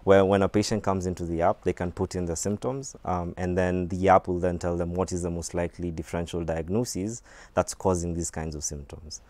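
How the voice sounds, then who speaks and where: Deep-voiced Kenyan man, measured explainer. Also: steady tone, a little mellow, no echo. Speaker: medical doctor, app company guest. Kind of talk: studio TV interview. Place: TV studio set.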